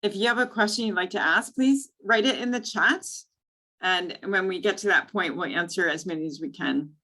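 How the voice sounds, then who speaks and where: A woman's voice: mid-range voice, moderate pace, bright, forceful tone, poor audio. A woman moderating an online panel over a video call, chatty and friendly with frequent fillers.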